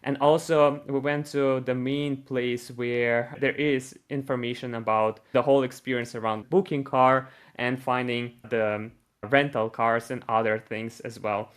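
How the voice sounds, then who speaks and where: A man hosting an online panel in a mid-pitched voice. He sounds upbeat and welcoming, and he keeps the session moving along. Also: fairly even intonation, unhurried, smooth. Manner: upbeat, repeats short praise. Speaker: host.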